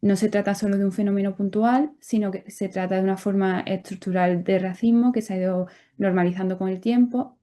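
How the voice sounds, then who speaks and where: A woman's voice: mid-range voice, fairly even intonation, fairly quick, few pauses, dark tone. A woman presenting her nonprofit's project at an online event, earnest and hesitating often.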